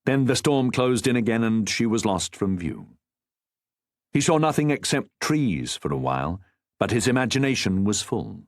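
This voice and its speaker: Guy reading a historical novel audiobook, lowish voice, measured and formal storytelling, really dry recording. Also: sing-song, dry recording. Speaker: audiobook narrator. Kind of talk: scripted narration of a historical novel.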